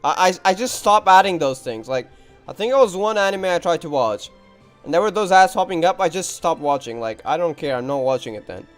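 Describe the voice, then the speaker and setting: A man's voice: high voice, animated, brisk. A man casually commentating on a game playthrough live, chatty and laid-back in his short reactions. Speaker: game streamer. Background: game music.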